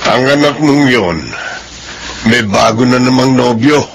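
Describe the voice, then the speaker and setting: An actor playing a stern, exasperated middle-aged Filipino uncle with a gravelly voice in a radio drama. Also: male voice, medium pitch, unhurried, a little hiss or hum. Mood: disapproving. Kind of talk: Philippine radio drama acting.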